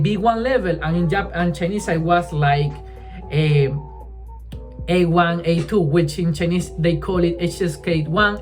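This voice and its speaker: A woman whose first language is Spanish talks casually in English, in a voice of middling pitch. She halts, restarts her phrases and keeps checking in with "right?". Soft music plays faintly underneath. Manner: halting, restarts often, keeps adding "right?". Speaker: language-learning vlogger. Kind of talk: casual chat to camera.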